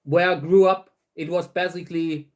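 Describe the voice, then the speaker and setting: High-voiced man chatting on a stream. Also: steady tone. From Germany. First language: German. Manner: rambling and unhurried, often restarting words. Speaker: host of a livestream channel. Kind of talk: casual livestream chat, answering viewers.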